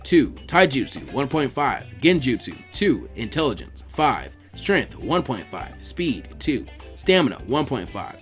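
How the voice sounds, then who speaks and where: A man narrating fan fiction as a scripted story reading. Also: a little high.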